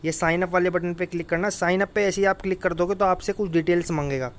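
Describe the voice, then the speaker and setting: A man's voice: high voice, fairly even intonation, fast talker, a little hiss or hum. A man giving an informal exam update to students in an insistent, repetitive way. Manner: repetitive, insistent, often tags phrases with "okay". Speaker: exam-news YouTuber and coach.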